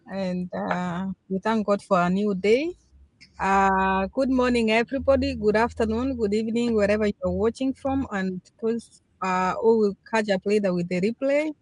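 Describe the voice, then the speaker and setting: A woman in her forties from Kenya speaks on a live stream. The sound is dry and close, with no room echo. She greets the audience politely and at an easy pace. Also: a little high. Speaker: panelist. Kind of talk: casual live-stream chat.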